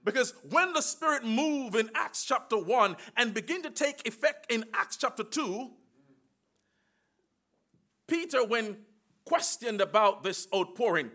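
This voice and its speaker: A man preaching a sermon with emphatic call-and-response and slow, drawn-out phrases. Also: very high-pitched, animated, sharp tone.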